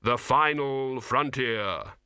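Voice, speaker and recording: A man acts out a skeletal reaper for a fantasy audiobook. The delivery is grave, terse and deadpan, with a forceful edge. The recording is very dry. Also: medium pitch, takes it slowly, smooth, no echo.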